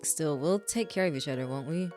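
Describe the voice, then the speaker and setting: A woman voicing a smug, teasing male vampire lover in a low, hushed voice for a game read-through. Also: brisk, over loud music, natural voice.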